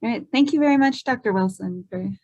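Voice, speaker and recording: A woman's voice: fairly high pitch, fast talker, a little mellow, dull audio. Woman moderating a conference session. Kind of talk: introducing speakers. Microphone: video call.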